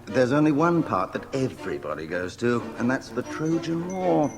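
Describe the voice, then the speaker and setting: An actor playing a mysterious, cautioning man who can send others through time, with a measured, ominous delivery in an audio drama. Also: mid-range voice, very animated. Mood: knowing. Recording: music under the voice.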